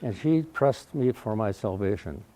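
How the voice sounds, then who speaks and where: A man around ninety with a mellow voice, telling his life story to a live audience in a folksy way. He speaks loudly because he has no microphone and often clears his throat. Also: fairly low pitch, animated, measured pace. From Wausau, Wisconsin, USA. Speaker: guest speaker. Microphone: no microphone, recorded in the room.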